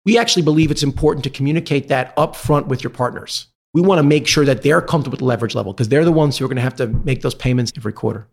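Male interview guest, finance executive type. Measured, articulate, diplomatic explanations at a conversational mid pitch. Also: vocal fry. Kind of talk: business interview, explanatory answers.

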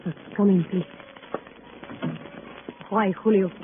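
An actress playing a guarded, anxious sister in an old radio crime drama, halting and formal. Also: female voice, medium pitch, steady tone, close to an everyday voice. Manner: halting, formal, repetitive questioning. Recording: noisy, tinny old radio recording.

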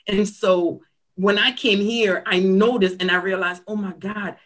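A woman's voice: medium pitch, fairly even intonation, a little slow, a bit bright. A woman from Cameroon in her thirties, a nurse practitioner giving interview answers in an earnest, reflective storytelling manner. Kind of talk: conversational interview.

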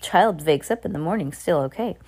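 A woman's voice: lowish voice, expressive, brisk, darkish tone. A woman voicing a gloating, commanding evil grand witch in broken English for a storybook reading. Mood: commanding, scheming. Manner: broken grammar, boastful, commanding.

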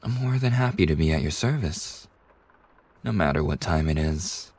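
A man playing a kind, polite young bartender in an ASMR roleplay, speaking softly and breathily in a courteous, soothing way with hesitant pauses. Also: a bass voice, lively intonation, exaggerated voice. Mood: soothing, attentive. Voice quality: soft, breathy. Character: kind, polite young male bartender, gently attentive university student. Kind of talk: ASMR roleplay, soft intimate scripted acting.

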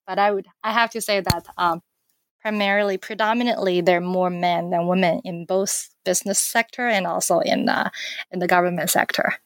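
A woman's voice: fairly low pitch, fairly even intonation, brisk, no room sound. Woman from China, academic guest, thoughtful with fillers, dry close sound. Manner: thoughtful, many fillers and restarts. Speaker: author and political scientist. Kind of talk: academic interview answer.

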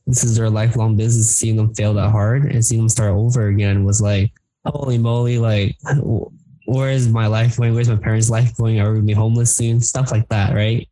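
A man's voice: lowish voice, level tone, a little fast, dark tone, dry recording, low-quality recording. A male podcast co-host asking eager questions, often repeating words as he talks. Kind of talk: casual interview talk.

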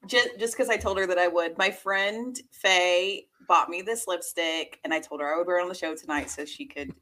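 A woman's voice: fairly low pitch, normal speed, bright, forceful tone, a little hiss or hum. A woman co-hosting a podcast in casual, playful chat with a teasing streak. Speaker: podcast co-host.

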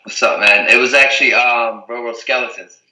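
Young man from the Azores, rambling casual talk, very bright tone, roomy echo, sounds far from the mic. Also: mid-range voice, steady tone, fairly quick. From Portugal, the Azores. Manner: rambling, heavy use of "like" and "you know what I mean". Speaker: guest, reality TV contestant. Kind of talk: casual interview chat.

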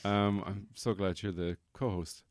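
A man's voice: fairly low pitch, steady tone, measured pace. A man hosting a casual comedy podcast, joking and bantering playfully. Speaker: podcast host.

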